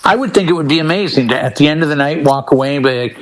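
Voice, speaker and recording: A man co-hosting a casual panel chat, talking in an animated storytelling way. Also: a little high, very fast, a little hiss or hum. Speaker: podcast co-host.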